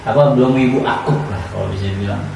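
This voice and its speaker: A man's voice: on the low side, fast talker, creaky, a little mellow. A young man with a Jakarta-area Indonesian accent co-hosts a podcast in a casual, slangy, conversational way. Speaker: podcast co-host. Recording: echoey room, distant mic, faint noise.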